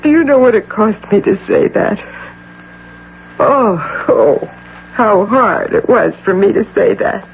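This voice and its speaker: A woman's voice: medium pitch, animated, takes it slowly, gravelly. Through a tinny, hissy old radio comes an imperious middle-aged woman executive. She is curt and proud, with intense, desperate feeling in the manner of a radio drama. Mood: pleading. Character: imperious, high-powered businesswoman desperate over her missing imagined daughter. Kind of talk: 1980 radio drama acting.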